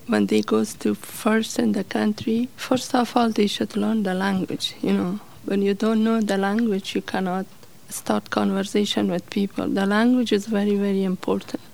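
A middle-aged woman, telling her life story in an interview in halting, simple English with fillers. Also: mid-range voice, flat delivery, gravelly, darkish tone, low-quality recording. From Afghanistan.

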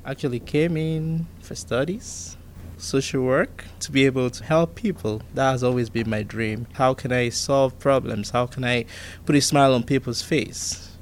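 A man in his thirties from Nigeria recalls his life in an earnest, reflective interview. His voice sits at an ordinary middle pitch. A faint background hiss runs under the recording. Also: normal speed. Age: 30s. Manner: earnest, reflective, repeats himself for emphasis.

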